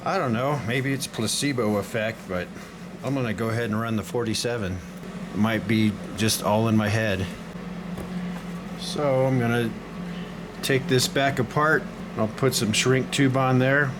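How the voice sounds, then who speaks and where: A man's voice: medium pitch, measured pace, background noise. A man narrating a hands-on repair casually, thinking aloud as he works. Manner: thinking aloud while working. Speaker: hobbyist radio repairer.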